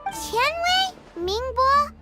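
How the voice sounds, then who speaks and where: A woman voicing a tiny, eager, childlike floating companion in a video game, with a squeaky voice. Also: big pitch swings, extremely slow, exaggerated voice. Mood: earnest. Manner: speaks of self in third person. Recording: children's jingle music behind the voice.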